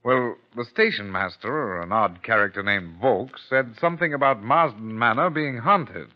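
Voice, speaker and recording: Actor as polite, sensible gentleman sidekick. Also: mid-range voice, expressive, normal speed, natural voice. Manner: polite, mildly exasperated, well-spoken. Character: polite, sensible gentleman, the detective's loyal companion. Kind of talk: old radio drama acting. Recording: dull, band-limited old radio recording.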